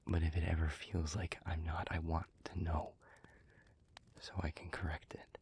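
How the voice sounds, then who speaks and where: A man playing an anguished, protective demon pleading with a former lover in an intimate audio roleplay, husky and breathy, halting and stammering in his earnestness. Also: extremely low pitch, completely flat delivery, a little mellow, slight background noise. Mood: solemn, hesitant.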